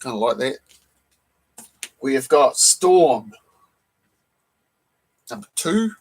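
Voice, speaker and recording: A man hosting a casual live stream, croaky from a cold, who keeps clearing his throat and greets viewers in the chat one by one. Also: medium pitch, very animated, slow, rough recording. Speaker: live stream host showing comic books.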